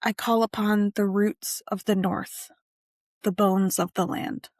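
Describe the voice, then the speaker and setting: A woman narrating a scripted guided meditation and ritual in measured, deliberate phrases with frequent pauses. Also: mid-range voice, flat delivery, no echo, studio quality.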